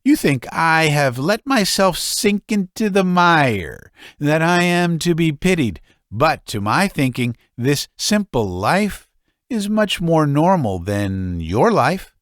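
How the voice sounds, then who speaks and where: A man's voice: a little high, big pitch swings, slow pace, a bit bright, no room sound, clean studio sound, voice pushed up, full character voice. A man doing a blustering drunken old father. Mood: defiant. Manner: blustering, muttering with stammers. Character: drunken, proud, self-pitying old father. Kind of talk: audiobook character reading.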